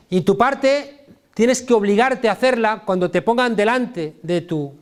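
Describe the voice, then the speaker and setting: A middle-aged man from Spain, a political science professor, giving an impassioned public lecture with a Spain Spanish accent and emphatic, colloquial repetition. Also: sits high.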